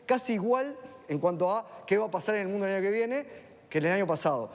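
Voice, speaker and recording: A man speaks in a measured, explanatory way with a somewhat high voice. He lays out economic points at a steady pace. His voice rings with the long reverb of a studio. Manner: measured, explanatory, with filler tags. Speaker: economist guest on a TV panel. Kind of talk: analytical panel discussion.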